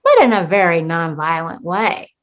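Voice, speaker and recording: A woman's voice: lowish voice, very animated, moderate pace. A woman acting in a comedic radio play as a disgruntled, petty schemer trapped in a holiday sitcom, theatrical and defiant. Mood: scheming.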